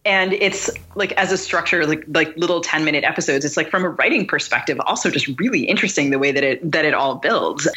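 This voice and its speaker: A woman's voice: low-pitched, fast, few pauses, bright, forceful tone. A woman co-hosting a podcast in casual, conversational speech. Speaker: podcast host.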